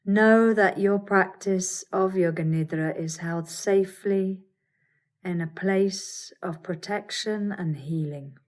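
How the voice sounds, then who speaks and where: A woman teaching yoga nidra, guiding a meditation in a calm, soothing, deliberate way with pauses. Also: medium pitch. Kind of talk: guided meditation.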